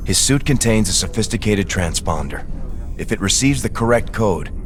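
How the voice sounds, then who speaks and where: An actor playing an earnest, formal young second-in-command officer in a video game. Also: male voice, fairly low pitch, lively intonation, normal speed, soft background music, natural voice. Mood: concerned, urgent. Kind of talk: dramatic video game acting.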